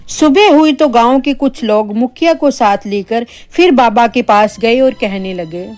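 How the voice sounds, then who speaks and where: A woman's voice: a little high, unhurried, darkish tone. A woman narrating a scripted spooky story for children as a storyteller. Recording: background music.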